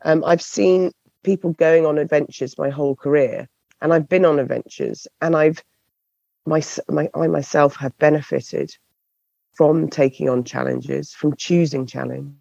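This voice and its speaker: A woman with a croaky, hoarse voice, answering interview questions thoughtfully and often repeating words as she searches for phrasing. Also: low voice, steady tone, a little slow, warm tone, dry recording, highs cut off. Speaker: podcast guest.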